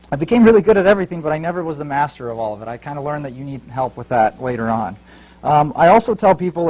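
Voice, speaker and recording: A young man in his twenties speaks as an entrepreneur in an informal motivational talk. His voice sits a little higher than most men's. He addresses his listeners directly and in plain, casual terms. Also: darkish tone, low-quality recording. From Ukraine, later Fort Wayne, United States. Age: 20s. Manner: informal, talks directly to the audience.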